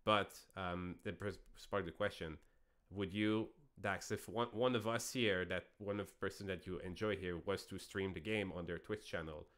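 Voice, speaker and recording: A man's voice: sits low, fairly even intonation, normal speed, fairly bright tone. A man with a French Canadian accent hosting a casual online debate show, rambling with frequent restarts.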